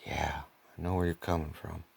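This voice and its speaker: Gravelly older man reading a storybook. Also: a bass voice, level tone, moderate pace, a little mellow. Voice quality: gravelly, husky. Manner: rambling, repeats phrases, ad-libs. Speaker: grandfather reading to his grandson. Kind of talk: animated improvised storybook reading.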